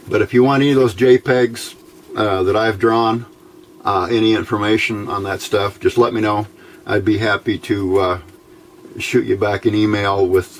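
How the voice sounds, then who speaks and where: Low-voiced man, noisy recording. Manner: halting, plain-spoken, explaining step by step. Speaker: host of a DIY electronics tutorial channel. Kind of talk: informal unscripted hands-on tutorial talk. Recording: clearly noisy home recording.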